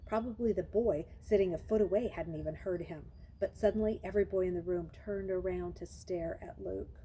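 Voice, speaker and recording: Very mellow woman reading a story aloud. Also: fairly low pitch, brisk, a little hiss or hum, low-quality recording. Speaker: reader of a children's novel. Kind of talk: read-aloud storybook narration.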